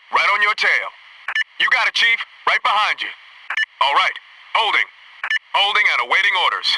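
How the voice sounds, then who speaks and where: An actor playing a cocky, wisecracking young Navy SEAL in a military shooter game, with brash, clipped delivery. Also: male voice, sits high, far from the mic, background noise. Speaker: video game squad soldier. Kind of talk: military shooter game voice lines, short barks.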